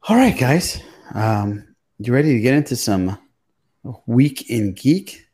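A man's voice: medium pitch, very animated, slow, dark tone. A man hosting a pop culture podcast, chatting informally and swearing now and then. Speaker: podcast host.

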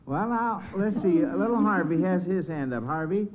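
Thin old radio recording, folksy guy hosting a quiz show, voice a bit high. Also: animated, moderate pace, phone-quality sound, a little hiss or hum.

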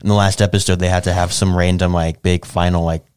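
A man's voice: deep voice, little pitch movement, quick pace, a little mellow, clear, resonant tone. A man co-hosting a podcast in casual, conversational talk about films. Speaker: podcast co-host. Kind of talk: casual film discussion chat.

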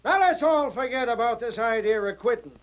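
A man's voice: extremely high pitch, expressive, brisk. An actor playing an earnest railroad foreman in an old radio western, pleading urgently and persuasively with a raised voice. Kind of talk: 1940s radio western acting. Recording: muffled old radio recording, crowd behind.

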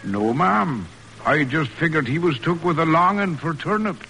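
An actor playing a good-natured farmhand in a rustic, colloquial 1940s radio drama style. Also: male voice, fairly high pitch, animated, normal speed, creaky, bright, forceful tone, full character voice. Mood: folksy, puzzled. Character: good-natured folksy male farmhand, loyal and plainspoken. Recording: noisy old radio broadcast recording.

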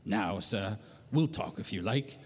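An actor playing a smug, talkative middle-aged nightclub owner with affected refinement, in a 1940s-style noir radio drama. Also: male voice, on the low side, lively intonation, a little slow, husky. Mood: jovial, sly. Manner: affectedly refined, loquacious, chuckling.

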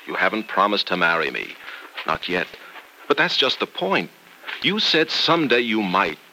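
Bright, lowish lovesick young doctor. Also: male voice, very animated, normal speed, exaggerated voice. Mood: insistent. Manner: earnest, insistent. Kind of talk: 1940s radio soap opera acting. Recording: noisy old radio recording.

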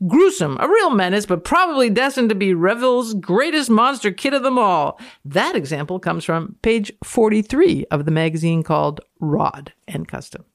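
A woman's voice: medium pitch, big pitch swings, normal speed, hardly any pauses. A woman with a Canadian accent, reading texts aloud dramatically and commenting casually in a playful, mock-authoritative way. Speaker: guest reader and commentator on a podcast.

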